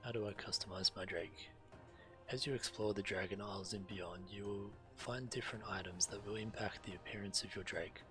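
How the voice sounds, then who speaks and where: A man streaming a game, reading quest text aloud in a measured, deliberate way while he plays. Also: on the low side, steady tone. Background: fantasy game music.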